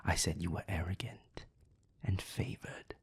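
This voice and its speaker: A man voicing a haughty, scornful vampire with a raspy, breathy voice in a scripted audio drama. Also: sits low, flat delivery, measured pace, in a whisper, heavily acted. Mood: bitter. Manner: haughty, cutting, sardonic. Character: scornful, condescending male vampire, bitter rival. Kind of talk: scripted audio roleplay acting.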